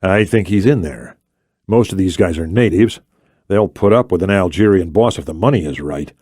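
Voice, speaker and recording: Man playing cool, low-voiced covert operative. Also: lively intonation, normal speed, a little mellow, husky, no room sound, clean studio sound. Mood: decisive. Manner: casual, matter-of-fact.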